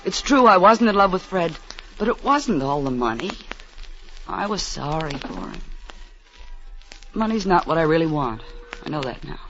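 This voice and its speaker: A woman's voice: low-pitched, sing-song, normal speed, pauses often, fairly bright tone, heavily acted. A muffled, hissy vintage radio recording of a scheming American femme fatale. She pleads and frets melodramatically in the manner of 1940s detective drama. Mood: seductive, hushed.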